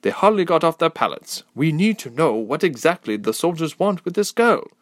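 A man's voice: fairly high pitch, big pitch swings, moderate pace, no room sound, voice pushed up. A man doing a stern, accusing warrior woman. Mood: suspicious. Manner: sharp, accusing. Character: stern suspicious bald female warrior.

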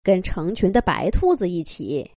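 A woman narrating a children's fairy tale in an expressive, scripted storytelling style. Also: on the low side, moderate pace, no room sound, studio quality.

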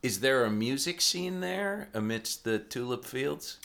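A man's voice: mid-range voice, expressive, unhurried, vocal fry, fairly bright tone. A male radio host asking short interview questions and prompting his guest. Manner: brief prompts and backchannels.

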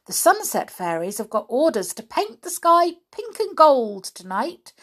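A woman's voice: high-pitched, very animated, unhurried, a bit bright, pitched above the performer's own voice, exaggerated voice. A woman voicing a mischievous young male fairy who leads the others into trouble, in a raised, eager voice. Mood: impish. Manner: eager and impish.

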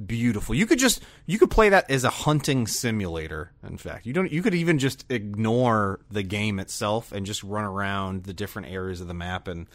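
A man co-hosting a gaming podcast, chatting casually in an enthusiastic, expressive way. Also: fairly low pitch, fairly quick, hardly any pauses. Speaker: podcast co-host.

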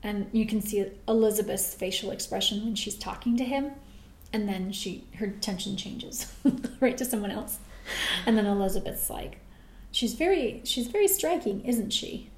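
A woman co-hosting a casual chat, animated and laughing often as she recaps a TV episode. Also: mid-range voice, normal speed. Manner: animated, laughs often, acts out quoted lines. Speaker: podcast co-host. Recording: roomy echoey sound.

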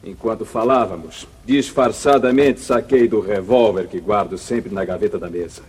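A man's voice: fairly low pitch, moderate pace, a little mellow. A man playing an arrogant, scheming town boss and secret bandit chief in a 1950s Brazilian radio serial, with theatrical menace. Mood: cold, gloating. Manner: theatrical, smooth-talking menace. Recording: muffled vintage 1950s radio recording.

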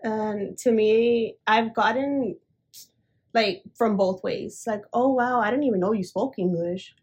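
A young woman in her twenties co-hosting a podcast, speaking softly and hesitantly in casual chat. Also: a little high, measured pace, husky. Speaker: podcast co-host.

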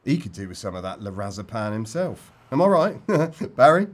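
An actor playing a dry, sarcastic, teasing policeman who would rather slack off, in a dark comedy audio drama. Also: lowish voice, very animated, normal speed. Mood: wry. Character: wry, teasing policeman, slacking senior partner.

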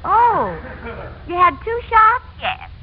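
A woman's voice: very high-pitched, big pitch swings, slow pace, exaggerated voice. An actress playing a genteel, chatty woman in an old-time radio family sitcom. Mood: cheerful, chatty. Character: genteel, animated woman in domestic family comedy. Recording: muffled, faintly noisy old radio recording.

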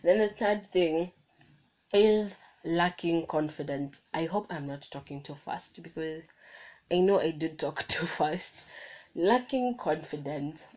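A woman's voice: deep voice, animated, slow, darkish tone, low-quality recording. A young woman hosting her own podcast, talking through motivational points in a chatty way, often restarting her sentences, recorded on a phone. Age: young adult. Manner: chatty, self-correcting, motivational. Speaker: podcast host. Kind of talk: casual solo podcast monologue. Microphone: phone microphone.

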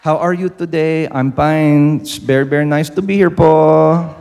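A man's voice: a little high, normal speed, a little mellow, smooth, close to an everyday voice. A man voicing his polite young boy self with a Filipino accent.

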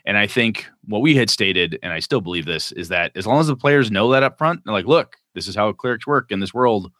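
A man with a North American accent and a low voice, recorded close and dry. He talks as a podcast co-host in a loose, slangy, conversational style, moving between discussion and reading messages out. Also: lively intonation, fairly quick, sharp tone, no room sound. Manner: informal, slangy. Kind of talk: casual discussion, reading listener messages aloud.

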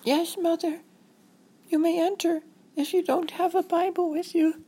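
A woman's voice: very high voice, level tone, measured pace, dark tone, pitched above the performer's own voice. A woman voicing an anxious, literal-minded little girl with a prim, overdramatic manner in a children's story. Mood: timid, prim. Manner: prim, formal address, overdramatic.

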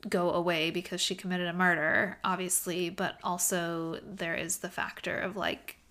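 A woman's voice: lowish voice, level tone, moderate pace, sharp tone. A young woman co-hosting a podcast in casual chat, stuffy and sniffly from allergies, chatty and full of fillers. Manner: chatty, tangent-prone, lots of fillers. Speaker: podcast co-host.

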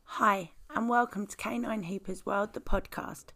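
Female podcast host, croaky voice, calm scripted explainer of training methods. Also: medium pitch, normal speed.

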